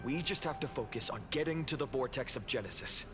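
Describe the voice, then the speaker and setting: A man playing a calm, trusting young leader in a video game, earnest and measured. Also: medium pitch, background noise, lightly acted. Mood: calm, reassuring.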